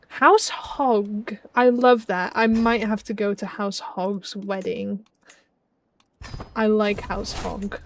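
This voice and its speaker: A young woman from the Netherlands with a Dutch accent tinged with British and Scottish sounds, chatting casually with her stream chat while playing, with many ums and restarts. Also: medium pitch. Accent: Dutch with British and Scottish traces. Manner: chatty, frequent ums, reads chat aloud.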